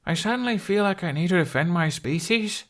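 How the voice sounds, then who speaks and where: A man performs a young male hero of a fantasy audiobook. He is wry and stubborn, quick to defend himself. The voice sits higher than a narrator's, with an exasperated edge. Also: expressive, fairly bright tone. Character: young human male, sarcastic, stubborn, exasperated dragon companion.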